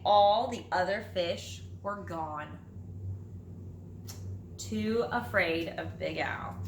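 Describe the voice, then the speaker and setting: A woman teacher reading a children's storybook aloud in an expressive, dramatic way with drawn-out phrases. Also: on the high side. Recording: roomy echo, light background noise.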